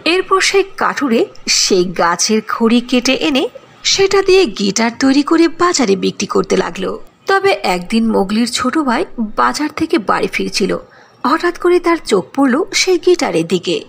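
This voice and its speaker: A woman tells a cartoon fairy tale in a lively, sing-song way. Her voice sits a little high and has a gravelly, husky grain. Her pitch swoops up and down as she narrates. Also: moderate pace.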